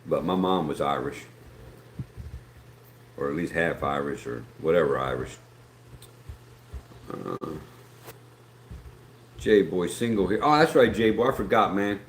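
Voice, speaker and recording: A man's voice: deep voice, animated, slow pace, pauses often. A middle-aged man from West Virginia with an Appalachian accent, chatting casually and rambling as he hosts a live stream and reads out viewer comments. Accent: Appalachian American. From West Virginia, USA. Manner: rambling, folksy, reads chat aloud. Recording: echoey room with faint background noise.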